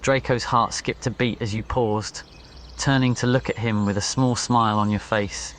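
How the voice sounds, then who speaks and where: A man's voice: medium pitch, steady tone, moderate pace, fairly bright tone, a little hiss or hum. A man reading a scripted ASMR story as its narrator. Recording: nature ambience behind voice. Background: crickets, birds and insects.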